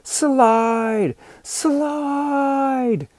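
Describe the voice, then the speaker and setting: A man voicing excited boys in an audiobook, shouting urgently across a ball field. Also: very slow, full character voice. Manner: urgent, high-pitched shouting.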